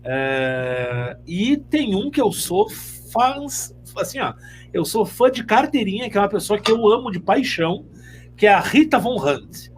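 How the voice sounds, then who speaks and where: High-pitched, animated man. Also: measured pace, soft background music. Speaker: podcast guest, school teacher. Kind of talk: casual animated chat.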